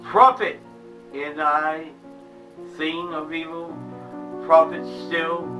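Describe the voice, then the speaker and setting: A man giving a theatrical, dramatic reading of a poem, dropping to hushed tones and sometimes stumbling and restarting. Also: fairly high pitch, little pitch movement, slow. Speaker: reader of a poem. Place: small home museum room. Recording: distant mic with eerie music behind.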